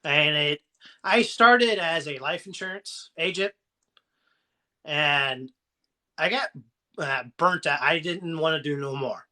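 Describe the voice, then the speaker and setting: A man with a southern Ohio American accent talks in a loose, rambling way, offering folksy encouragement on a casual live stream. His voice is very bright and ringing and sits a bit high for a man. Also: takes it slowly, long pauses. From Ohio, USA. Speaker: motivational live-stream host.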